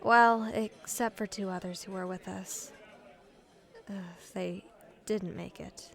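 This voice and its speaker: A woman's voice: mid-range voice, fairly even intonation, takes it slowly, creaky. A woman playing a mysterious, skeptical female adventurer in a dry, guarded way. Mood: dry, subdued.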